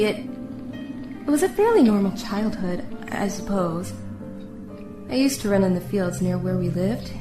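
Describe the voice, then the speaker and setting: A woman's voice: mid-range voice, natural voice. An actress playing a guarded, heartbroken female prisoner in an audio drama, aloof and fatalistic, speaking with dramatic emphasis. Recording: roomy echo with music underneath.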